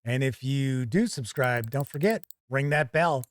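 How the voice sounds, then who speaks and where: A man's voice: medium pitch, measured pace. A man hosting a conversational video product review, stressing points by repeating phrases. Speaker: host of a tabletop game review channel.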